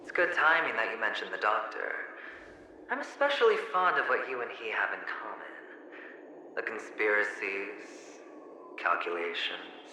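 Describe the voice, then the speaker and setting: A man's voice: fairly low pitch, lively intonation, normal speed, noisy background, natural voice. A man voicing a mocking young gambler in a game, echoing. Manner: mocking, teasing drawl with scornful laughs. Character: smug young male gambler taunting his double. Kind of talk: video game cutscene voice acting.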